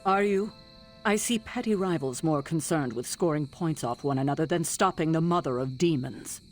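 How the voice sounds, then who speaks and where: A woman voicing a stern, weary team leader in a game, speaking in a measured, earnest, formal way. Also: low-pitched, faint music behind, natural voice. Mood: grave.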